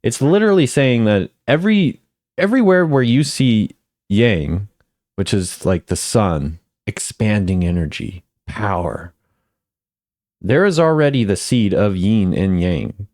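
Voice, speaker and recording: A man's voice: fairly low pitch, expressive, measured pace, a little mellow, no echo, studio quality. A man giving casual chatty commentary as a video creator, often repeating phrases for emphasis. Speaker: video creator ranking game voice lines.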